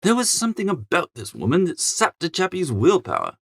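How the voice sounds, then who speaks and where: A man playing a flustered, foppish young English gentleman who narrates a comic audiobook in a chatty, melodramatic, exasperated way. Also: fairly high pitch, huge pitch swings, brisk, creaky, no room sound, clean studio sound, exaggerated voice. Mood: appalled.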